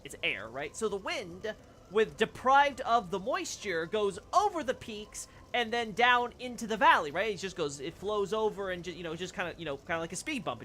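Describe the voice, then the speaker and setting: A rambling streamer talks through game lore as they play, leaning on "you know" and "right?" at every turn. The voice is bright and forceful, with a faint hiss underneath. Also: mid-range voice. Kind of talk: casual game lore commentary while playing.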